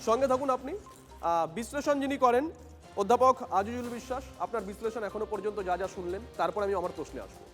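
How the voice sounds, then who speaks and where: High-pitched Bengali man moderating a TV debate. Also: quick pace, fairly bright tone. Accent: Bengali from West Bengal. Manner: interjects brief acknowledgements. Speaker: news debate host. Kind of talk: live TV panel moderation. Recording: echoey reverberant sound over music bed.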